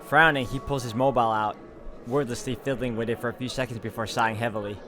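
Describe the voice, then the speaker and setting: A man's voice: mid-range voice, fairly even intonation, fast, pushed and bright. A man hosting a game walkthrough, reading visual novel narration aloud and commenting casually. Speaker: video game walkthrough host. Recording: music behind the voice. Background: game music.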